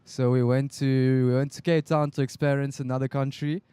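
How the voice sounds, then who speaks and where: A man's voice: medium pitch, flat delivery, normal speed, mellow, smooth, no echo. A French man with a French accent faintly tinged with South African, co-commentating casually on a live sports stream. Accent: French, with a slight South African tinge. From France. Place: indoor live stream booth by a beach.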